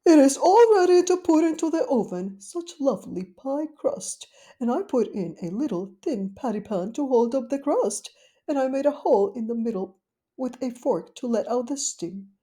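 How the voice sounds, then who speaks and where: A woman's voice: high-pitched, expressive, normal speed, mellow, pitched above the performer's own voice. Woman doing a fretful little lady dog. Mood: worried. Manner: anxious, fussy, talks to herself. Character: fretful, scheming genteel little female dog. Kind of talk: storybook character voice.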